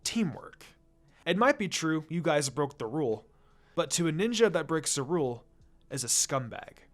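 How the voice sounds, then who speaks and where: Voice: medium pitch, big pitch swings, moderate pace, soft background music, close to an everyday voice. A narrator voicing a stern, serious male ninja teacher who lectures, in a story reading.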